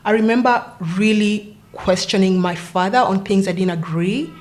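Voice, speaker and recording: A woman speaks as a podcast guest, recalling her childhood in a reflective way. Her voice sits at an ordinary middle pitch. She often pauses, adds fillers and corrects herself mid-sentence. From Kenya. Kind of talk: casual interview storytelling.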